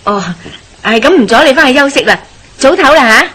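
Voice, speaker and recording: A nosy, kindly village wife in a Cantonese radio play from Hong Kong. Her voice sits fairly high, with eager, gossipy exclamations. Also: animated, quick pace, a bit bright. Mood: chatty, solicitous. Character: chatty, nosy, warm-hearted village married woman. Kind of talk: radio drama acting.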